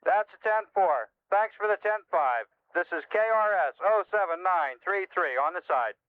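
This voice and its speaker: A brisk, helpful male trucker talks in clipped CB slang. His high voice is clear and dry, squeezed through a radio in a scripted demonstration. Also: lively intonation, dry recording. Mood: businesslike. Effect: CB radio filter. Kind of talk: scripted CB radio role-play. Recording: tinny band-limited CB radio.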